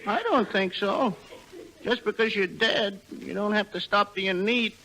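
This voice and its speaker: An actor playing a meek, persistent oddball insurance salesman, deadpan, in 1940s radio comedy. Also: sits high, unhurried. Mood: earnest, persistent. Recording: noisy old radio recording.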